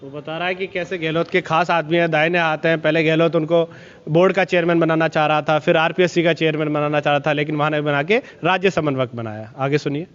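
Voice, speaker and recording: A man's voice: a little high, fairly even intonation, brisk, a bit bright. A man explaining and summarizing material for a news report in a spoken, explanatory way. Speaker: explainer presenting leaked call recordings. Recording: dull, lo-fi sound.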